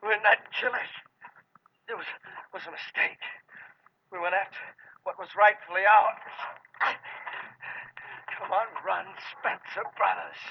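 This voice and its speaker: An actor playing a desperate fugitive in a radio suspense drama, breathless, halting and repeating himself, on an old radio recording. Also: male voice, high voice, fairly even intonation, husky, exaggerated voice. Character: desperate hunted fugitive man, pleading and panicked. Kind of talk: 1950s radio suspense acting. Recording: dull, noisy vintage radio recording.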